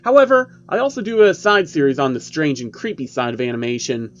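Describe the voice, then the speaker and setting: A man playing a chatty male YouTuber, emphatic explainer, raised pitch, online cartoon special, slightly dull audio. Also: lively intonation, fairly quick, close to an everyday voice. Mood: upbeat presenting. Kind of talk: online video presenting.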